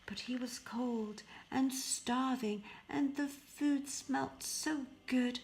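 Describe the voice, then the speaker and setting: A woman reading a fairy tale as expressive, deliberate narration, with hushed phrase endings. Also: high-pitched, a little hiss or hum. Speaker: storybook reader.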